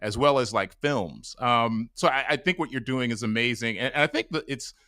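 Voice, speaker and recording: Podcast host guy, kinda rambly, lots of likes and ums, bright voice, dry sound. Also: mid-range voice, moderate pace, dry recording. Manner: rambling, many "like" and "um" fillers. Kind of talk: casual interview chat. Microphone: mic on a stand over a video call.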